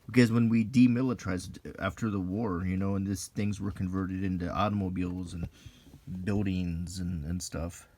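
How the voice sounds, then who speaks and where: A man with a very low-pitched voice talks in an unscripted, musing way, as in an ASMR video. He pauses often and picks his words haltingly. There is some background noise in the recording. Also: steady tone, dark tone. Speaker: video host reviewing an old magazine. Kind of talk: unscripted ASMR-style commentary while browsing a magazine.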